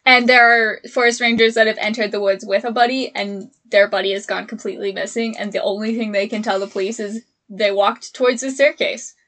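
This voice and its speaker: Bright, animated young woman co-host. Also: mid-range voice, fairly quick, few pauses. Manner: animated, laughs often. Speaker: podcast co-host. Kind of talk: casual podcast chat.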